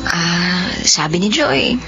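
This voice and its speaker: An actress playing a gentle young orphaned woman, pleading and tearful in a radio drama. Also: on the low side, animated, slow, creaky, heavily acted. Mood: sad. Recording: dull lo-fi recording with music.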